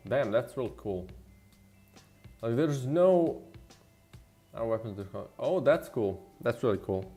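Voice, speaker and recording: Male game developer, low voice, off-the-cuff streamer chat, thinks aloud, music behind. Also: darkish tone. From Slovenia. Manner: rambling, thinking aloud, mildly profane. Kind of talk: casual livestream chat while working. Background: background music.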